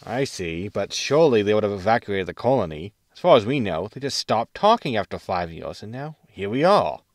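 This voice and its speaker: An actor playing a pragmatic, wry, world-weary spaceship captain in a science fiction audio sitcom. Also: male voice, lowish voice, very animated, a little fast, no echo, clean studio sound. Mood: wry concern.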